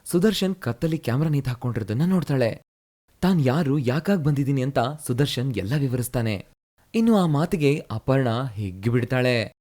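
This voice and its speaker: A man narrating a moral fairy tale in a brisk, plain storytelling manner. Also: medium pitch, animated, dark tone.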